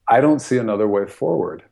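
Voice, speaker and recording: A man's voice: medium pitch, vocal fry, dark tone. An American man, a guest author, talking earnestly and thoughtfully in a podcast conversation, often laughing and saying "you know". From United States.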